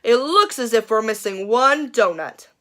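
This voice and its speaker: A knowing parent from a picture book, read by a woman, gently probing with slow, pointed questions. The voice is bright and lifts with each phrase. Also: on the high side, animated. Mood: knowing, teasing. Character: child's knowing, gently probing mom and dad.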